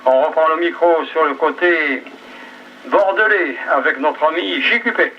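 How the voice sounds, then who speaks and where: A man's voice: on the high side, a little slow, distant mic. A man chatting casually as he runs an amateur radio round over a two-way link. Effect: two-way radio transmission. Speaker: amateur radio net operator. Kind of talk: casual ham radio chat. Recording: muffled VHF radio with faint noise.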